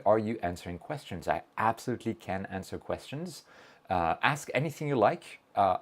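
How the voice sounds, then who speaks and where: A man hosting a casual livestream, reading viewer messages aloud, joking about himself and explaining technical steps plainly. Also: mid-range voice. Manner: chatty, reads chat messages aloud, self-joking. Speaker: livestream host.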